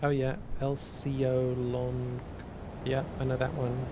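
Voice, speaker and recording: A man streaming casually, answering chat and working through math aloud in a halting way that repeats words. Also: completely flat delivery. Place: cold indoor room. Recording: noisy with a low rumble.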